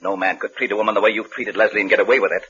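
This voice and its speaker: An actor playing an indignant, self-righteous law partner in a 1950s radio suspense play, accusing and threatening. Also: male voice, lowish voice, steady tone, very fast, bright, forceful tone, slightly rough, exaggerated voice. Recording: noisy, muffled old radio recording.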